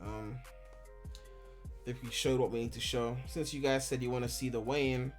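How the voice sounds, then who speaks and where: Young man from Ghana, home streamer, loose rambling chat, mid-pitched, light music underneath. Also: steady tone, normal speed. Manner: rambling and candid, heavy on filler "like", swears freely. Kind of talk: casual live-stream chat answering viewers.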